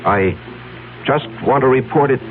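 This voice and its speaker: An actor playing a scheming, nervous businessman with a halting, evasive manner in a 1970s radio drama. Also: mid-range voice, natural voice. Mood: tense, businesslike. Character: scheming, nervous businessman covering up his misdeeds. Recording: noisy, tinny old radio broadcast with hum.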